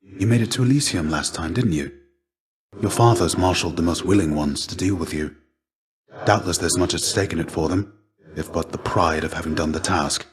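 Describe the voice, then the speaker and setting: A man voicing a weary, gentle fallen Greek warrior as a fatherly mentor in a video game, speaking in a measured, formal, old-fashioned and earnest way. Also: low-pitched, natural voice. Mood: grave, guarded. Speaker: voice actor. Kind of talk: video game character dialogue, measured and theatrical.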